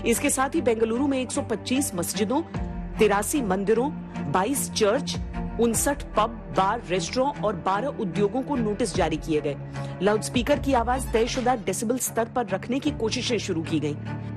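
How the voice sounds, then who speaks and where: A woman's voice: on the high side, lively intonation, moderate pace. A woman reading scripted narration for a television news report. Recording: news voice-over over loud music. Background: music bed.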